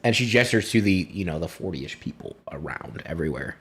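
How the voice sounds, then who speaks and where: A man improvising narration as a game master, halting often as he finds his words. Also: low-pitched, lively intonation, fairly bright tone, husky. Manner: halting, pausing to find words.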